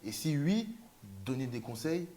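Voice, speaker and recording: Male interviewer, mid pitch, animated and encouraging. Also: measured pace. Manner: lively, encouraging, rising emphasis, some stumbles. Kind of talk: casual interview questions.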